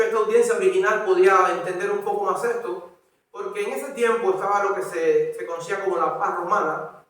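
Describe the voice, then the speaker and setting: High-voiced Cuban preacher in echoey hall. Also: male voice, a bit bright. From Cuba. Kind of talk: expository sermon with scripture reading. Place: church hall. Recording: echoey room, distant microphone.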